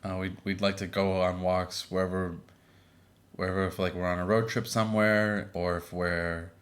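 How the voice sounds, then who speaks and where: A man in his thirties co-hosting a podcast with his wife, chatting casually with a relaxed, filler-laden manner. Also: deep voice, normal speed. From Boston area. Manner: relaxed, many fillers and restarts. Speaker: podcast co-host. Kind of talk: casual chat.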